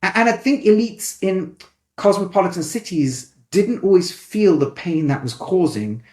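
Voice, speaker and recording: A man with a light Manchester accent talks through ideas in an animated, conversational way. His voice sits a little high. The room around him is noticeably echoey. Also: moderate pace. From England. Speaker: rabbi and educator. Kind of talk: thoughtful conversational explanation.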